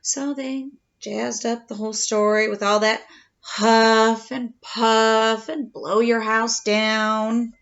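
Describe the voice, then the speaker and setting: A woman voicing an aggrieved, slyly self-pitying male wolf who tells his side of a storybook tale with mock-innocent, drawn-out drama. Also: a little high, little pitch movement, a bit bright, muffled recording. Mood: wronged, self-pitying.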